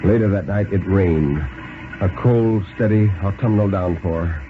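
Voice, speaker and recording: A man reading a thriller story aloud as a 1950s radio narrator, in a dramatic, deliberate style. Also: low voice, phone-quality sound, noisy background.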